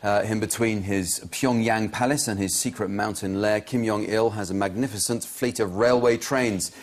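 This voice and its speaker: A man hosting a comedy news quiz, reading out gags with a dry, deadpan delivery in a TV studio. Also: fairly low pitch, moderate pace. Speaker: panel show host. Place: TV studio with audience.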